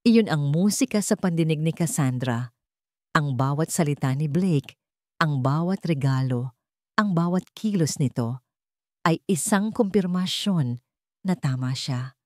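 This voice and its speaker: A woman's voice: low voice, lively intonation, a little slow, a little mellow, dry recording, studio quality. A woman narrator reading a scripted romance story in a dramatic storytelling style.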